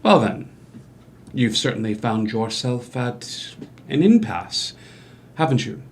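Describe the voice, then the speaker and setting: A man's voice: mid-range voice, animated, slow pace, a little hiss or hum. A man playing a smug, evasive doctor and swindler in a stage musical table read, speaking formally and with cool dismissal. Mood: smooth, smug. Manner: haughty, formal, coolly dismissive.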